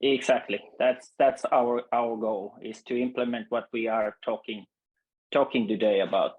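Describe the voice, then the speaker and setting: A Finnish-accented man introduces himself as a webinar guest. He speaks haltingly, pausing often and leaning on fillers. His voice comes through muffled and lo-fi, like call audio. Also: medium pitch, fairly even intonation, husky. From Finland. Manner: halting, many pauses and fillers. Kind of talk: conversational self-introduction.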